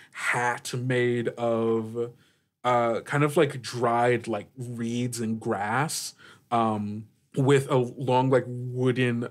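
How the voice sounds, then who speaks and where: A man runs a tabletop game as game master. His voice sits at an average pitch and moves slowly, feeling its way through informal, improvised descriptions piece by piece. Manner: halting, searching, informal.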